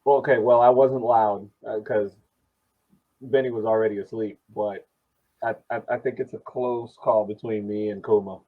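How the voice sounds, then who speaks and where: Lowish-voiced man on a tinny, phone-like line. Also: steady tone, moderate pace. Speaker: podcast co-host. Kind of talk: casual soccer fan podcast chat. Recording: narrow tinny phone-like connection.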